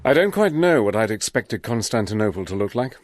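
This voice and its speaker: An actor playing a resourceful, determined spy-adventurer in a radio drama, brisk and wry, also narrating in the first person. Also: male voice, on the low side, very animated, over music. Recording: radio drama with noise behind.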